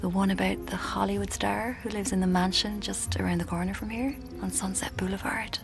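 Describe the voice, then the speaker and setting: An actress playing a dreamy, self-deluded young Irish woman narrating her own story in a breathy, confiding, languid way for radio drama, with music underneath. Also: medium pitch, little pitch movement, a little fast, natural voice. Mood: dreamy, self-satisfied. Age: young adult. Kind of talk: intimate first-person radio drama narration.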